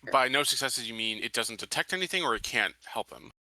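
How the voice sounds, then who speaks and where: A man's voice: mid-range voice, expressive, quick pace, pushed and bright, no room sound, rough recording. A man talking casually as a player in a tabletop game, questioning the rules.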